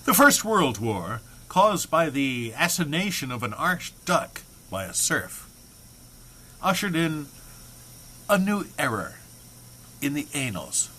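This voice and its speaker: A man's voice: fairly high pitch, very animated, takes it slowly, long pauses, bright, forceful tone, slight background noise. A man giving a dramatic comic reading of a humorous text, mock-serious in delivery with dry muttered asides. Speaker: reader.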